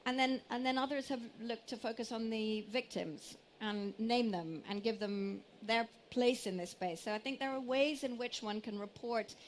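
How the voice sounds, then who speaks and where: Female conference panelist, higher-than-average pitch, measured and explanatory, slight hall noise behind. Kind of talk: live panel discussion. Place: conference stage.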